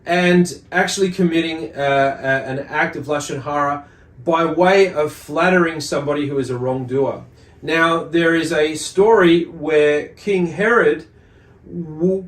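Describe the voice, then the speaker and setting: Man, somewhat high-pitched, religious teacher, explanatory lesson, deliberate halting phrasing, repeated words, roomy echo. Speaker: teacher hosting a religious study series. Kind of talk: explanatory lesson with readings and commentary. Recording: echoey room sound.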